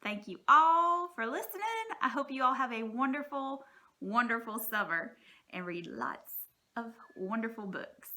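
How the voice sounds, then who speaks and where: A woman's voice: on the high side, lively intonation, measured pace, pushed and bright, slight background noise. A woman speaking as a teacher, giving informal, encouraging and reassuring advice to parents in a plain-spoken, folksy way.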